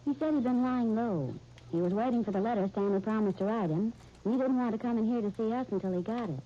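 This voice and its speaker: A woman's voice: a little high, fast talker, warm tone, natural voice. A woman playing a reserved young American woman, muffled recording. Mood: matter-of-fact. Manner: restrained, brief, polite replies. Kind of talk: 1930s radio drama acting. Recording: muffled, noisy 1939 radio broadcast recording.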